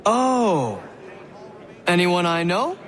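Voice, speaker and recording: A sly jailed forger in a fairly high male voice. He is talkative, teasing and theatrical, savouring his words like a schemer in a game cutscene. A lively crowd murmurs in the background. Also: very slow, exaggerated voice. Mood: skeptical, needling. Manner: wry, teasing, theatrical, wordy. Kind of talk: video game cutscene acting.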